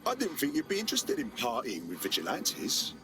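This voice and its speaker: An urbane AI assistant in a video game. The dry, arch voice swoops very widely in pitch, every phrase articulate. Music sits clearly behind it. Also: male voice, wildly swooping pitch, a little fast. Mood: dry amusement. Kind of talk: game cutscene dialogue.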